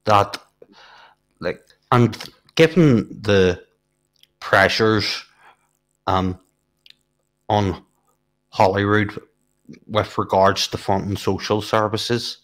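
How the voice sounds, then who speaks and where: A man in a casual political discussion who raises points in a measured, questioning way and builds them slowly with hesitant fillers. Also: lowish voice. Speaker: political podcast co-host.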